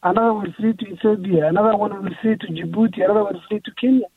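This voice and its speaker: A man's voice: high voice, very fast. A young man, an author guest speaking earnestly in a radio interview, often restarting his phrases. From Somalia. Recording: tinny phone line.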